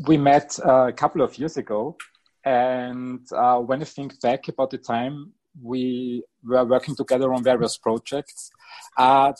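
Male podcast host, measured, kinda formal, mid voice. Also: mid-range voice, a little mellow, poor audio. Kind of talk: scripted intro, then interview questions.

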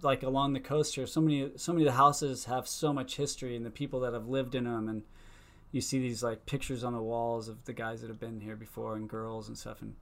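A man's voice: medium pitch, brisk. Rambling man on a faint, distant line. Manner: rambling, relaxed, lots of fillers. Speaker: podcast guest. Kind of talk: casual interview chat.

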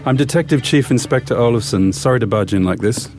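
An actor playing a polite but firm police inspector, speaking directly and formally in an audio drama. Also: male voice, medium pitch, fast, darkish tone, soft background music, lightly acted. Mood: formal, probing. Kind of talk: full-cast audio drama acting.

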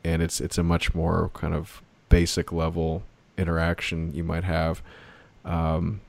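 A man's voice: sits low, monotone, a little slow, creaky, a little mellow. A man hosting a podcast, asking curious, rambling questions in a casual interview. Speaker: podcast host.

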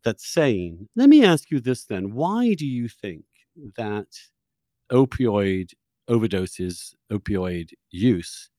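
A man with a fairly low voice, recorded close and dry, hosts a discussion. He probes and debates conversationally, often halting and doubling back on his words as he builds a point. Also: very animated, dark tone, dry recording. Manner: halting, repeats words, probing. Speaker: podcast host and interviewer. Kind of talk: conversational debate-style interview.

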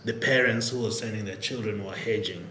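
A man's voice: on the low side, steady tone, a little fast, a bit bright. A middle-aged man with a Kenyan accent telling his story casually as a podcast guest. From Nairobi, Kenya. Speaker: podcast guest, tech product executive. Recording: echoey room with faint background noise.

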